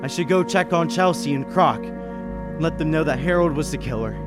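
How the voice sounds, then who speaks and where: A man playing an anxious businessman who narrates a murder mystery in deliberate, dramatic 1930s radio suspense style. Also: a little high, lively intonation, over loud music, natural voice. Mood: grim.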